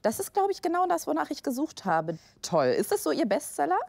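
A woman's voice: high voice, sing-song, fairly quick, creaky, a little mellow, no echo. A woman chatting casually and candidly as a participant in a reality show. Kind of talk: casual chat and to-camera remarks in a reality show. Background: music.

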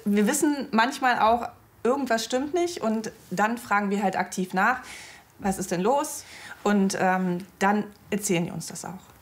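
Teacher woman talking about her students, mid voice, pauses with ums. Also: mid-range voice, a little hiss or hum. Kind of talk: interview.

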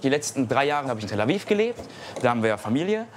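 Young male cook in a restaurant kitchen, mid-pitched voice, brisk, calls out orders, faint background noise. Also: big pitch swings. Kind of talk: casual talk during kitchen service.

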